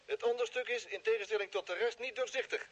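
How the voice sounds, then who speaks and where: A man's voice: high-pitched, fast. A man acting an eager, chatty space scout reporting hesitantly over a radio link in a radio drama. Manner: hesitant, excitable. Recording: dull, band-limited radio sound.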